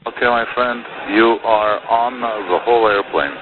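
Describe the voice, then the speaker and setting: A man's voice: fairly low pitch, measured pace. A male airline pilot chatting casually over the cockpit radio. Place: aircraft flight deck. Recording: noisy, narrow-band cockpit radio.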